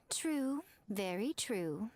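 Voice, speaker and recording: A woman's voice: fairly high pitch, big pitch swings, very slow, slight background noise, heavily acted. A woman playing a sly, elegant, teasing woman in an anime video game, with a honeyed, mock-sympathetic drawl. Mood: coy.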